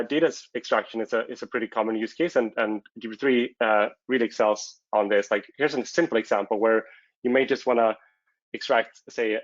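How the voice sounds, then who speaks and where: A man's voice: mid-range voice, no echo, muffled recording. A man whose first language is Swedish, presenting a technical talk at a conference and hesitating often with fillers and restarts.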